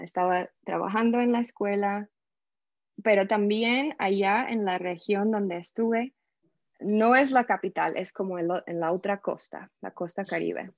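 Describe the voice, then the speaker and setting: A woman from the United States with an American English accent, a podcast guest telling her story in a lively way and slipping English words into her Spanish. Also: mid-range voice, gravelly, dry recording, highs cut off. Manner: animated, acts out quoted voices, mixes English words into Spanish. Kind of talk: casual interview storytelling.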